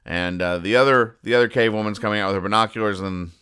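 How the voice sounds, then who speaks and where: Bright, clear man, dryly deadpan. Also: lowish voice, fast. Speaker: podcast host. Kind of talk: casual comic-strip recap monologue.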